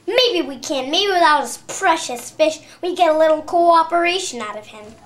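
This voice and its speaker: A woman's voice: very high voice, animated, normal speed, fairly bright tone, pitched above the performer's own voice. A woman playing a grumbling, scornful father in a readers theater story. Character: grumbling, scornful father fed up with his son. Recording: echoey room.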